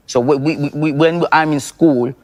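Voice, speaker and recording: A man's voice: a little high, fairly even intonation, normal speed. Insistent man in debate. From Jamaica. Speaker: panel guest. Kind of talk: casual debate.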